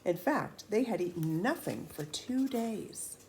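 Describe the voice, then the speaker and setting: A woman narrating a storybook for children in an expressive, deliberate, animated way. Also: medium pitch, a little hiss or hum. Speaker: children's read-aloud storyteller.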